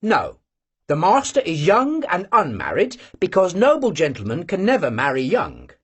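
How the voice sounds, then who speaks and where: A man voicing a cheerful, boastful young male servant who talks good-naturedly and proudly, in a husky voice. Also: high-pitched, huge pitch swings, unhurried, pitched above the performer's own voice, exaggerated voice.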